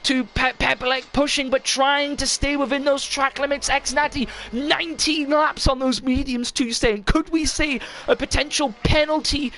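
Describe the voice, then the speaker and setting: Excitable racing caller, high voice, straining, asks co-host questions. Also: moderate pace, few pauses. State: straining, losing voice. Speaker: sim racing commentator. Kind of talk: live esports race commentary.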